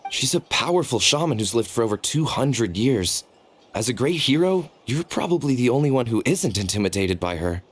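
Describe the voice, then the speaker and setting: A man's voice: medium pitch, very animated, moderate pace, husky. An actor playing a nervous, deferential ordinary tribesman in a game. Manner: polite, deferential.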